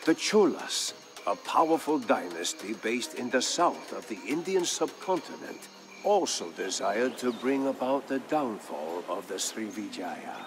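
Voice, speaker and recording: A man playing a thoughtful veteran war chronicler, telling a story for a game in a measured, grave and theatrical way. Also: medium pitch, sing-song, background noise. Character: thoughtful veteran male war chronicler recounting past campaigns. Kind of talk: dramatic scripted storytelling narration for a game. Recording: music behind the voice. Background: background music.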